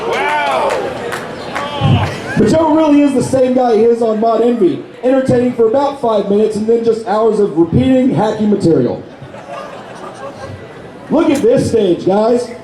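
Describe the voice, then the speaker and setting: A man with a hoarse, worn voice, delivering brash, profane insult jokes at a live roast on a convention stage with a handheld mic. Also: extremely high pitch, expressive, moderate pace, pauses often. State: hoarse, lost his voice. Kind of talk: live roast comedy set. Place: convention stage before a crowd. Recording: echoey hall, loud crowd noise.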